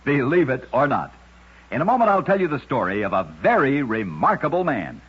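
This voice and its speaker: A man tells scripted curiosities as an old-time radio narrator. His voice is husky and rises and falls in lively, dramatic, measured phrases. The sound is that of a dull, aged radio recording. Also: mid-range voice.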